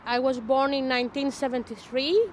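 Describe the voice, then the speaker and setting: High-voiced Greek woman in her fifties. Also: slight background noise. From Greece. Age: 50s. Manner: halting, with small grammar slips. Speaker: interviewee, performance artist. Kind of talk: documentary interview testimony.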